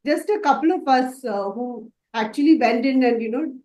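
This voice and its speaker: A woman's voice: high voice, moderate pace, darkish tone. Hesitant woman on an echoey call. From Dubai. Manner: hesitant, many fillers and restarts. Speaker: makeup artist student. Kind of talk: interview answers.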